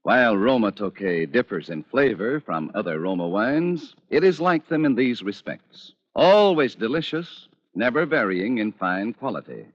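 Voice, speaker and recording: An American man announcing and reading a sponsor's pitch in 1940s radio style. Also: sing-song, moderate pace, few pauses. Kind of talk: 1940s radio advertising read. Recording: dull old radio recording.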